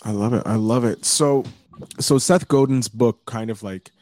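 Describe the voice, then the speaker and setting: A man hosting a podcast, opening with a showy welcome and then asking relaxed interview questions. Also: mid-range voice, normal speed. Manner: upbeat showman intro, then relaxed questions. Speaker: podcast host.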